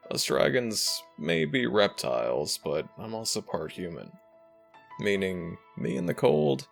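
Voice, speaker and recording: Man as a deep, unhurried, smitten dragon prince. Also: creaky, exaggerated voice. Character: smitten young male dragon shifter prince, tender, eager. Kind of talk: ASMR romantic audio roleplay addressed to listener.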